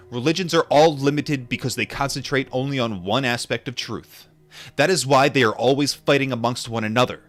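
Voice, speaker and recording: A man reading a book aloud and adding informal asides, in a measured, deliberate manner. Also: medium pitch, a bit bright. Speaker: audiobook reader and commentator.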